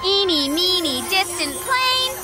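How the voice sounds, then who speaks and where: A woman voicing a cheerful, excitable young girl witch in a cartoon. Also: very high voice, slow, loud music behind the voice, full character voice. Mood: excited. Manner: bubbly, excitable. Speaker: lead character.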